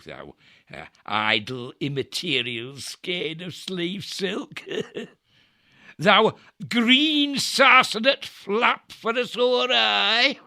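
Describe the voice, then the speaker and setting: An actor playing a scurrilous, jeering railer in a Shakespearean audio drama, mocking and theatrically declamatory. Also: male voice, high-pitched, pushed and bright, exaggerated voice. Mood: scornful. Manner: mocking, declamatory, erratic delivery. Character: scurrilous, jeering railer in a Greek war camp.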